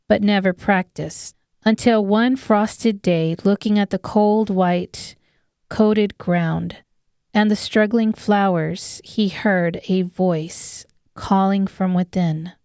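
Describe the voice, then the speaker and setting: A woman's voice: mid-range voice, fairly even intonation, takes it slowly, a little mellow, clear, resonant tone, no echo, studio quality. A woman narrating a scripted fantasy audiobook as its storyteller.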